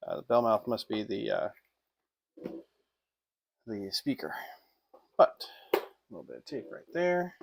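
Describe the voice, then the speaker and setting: A man's voice: lowish voice, big pitch swings, measured pace, vocal fry, pauses often, noisy background. A man giving a casual hands-on unboxing review in an informal, off-the-cuff way. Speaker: product reviewer.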